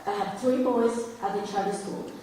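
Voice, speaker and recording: A hoarse woman, a parent losing her voice, testifying apologetically but heartfelt at a hearing. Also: medium pitch, steady tone, moderate pace, warm tone, slight background noise. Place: large hall, indoors. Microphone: public microphone. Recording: echoey hall from far off.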